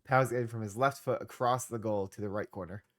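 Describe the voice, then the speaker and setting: A man's voice: lowish voice, quick pace, fairly bright tone. A young man with a congested voice, casually narrating a football management game he is streaming, with laid-back, trailing asides. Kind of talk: casual gameplay commentary.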